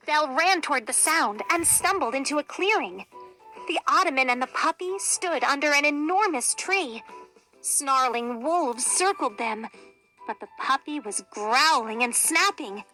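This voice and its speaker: Slow, high, bright-voiced woman storybook reader. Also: faint music behind, rough recording. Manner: expressive, deliberate. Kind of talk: dramatic read-aloud of a children's storybook.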